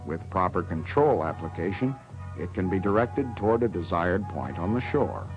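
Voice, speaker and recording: A man reading scripted instructional narration for a training film in a measured, explanatory way. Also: low voice, expressive, over music. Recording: dull 1970s 16mm film soundtrack.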